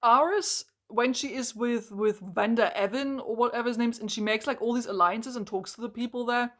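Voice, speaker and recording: A hoarse woman reviewing a book in an exasperated, emphatic rant, full of "like" and restarts. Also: a little high, fairly quick, fairly bright tone.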